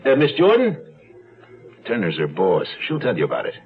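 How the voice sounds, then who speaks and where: An actor playing a brisk, teasing homicide cop with a blunt, casual manner in a radio drama. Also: male voice, fairly low pitch, huge pitch swings. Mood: businesslike. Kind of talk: 1970s radio drama acting. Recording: dull lo-fi radio drama recording.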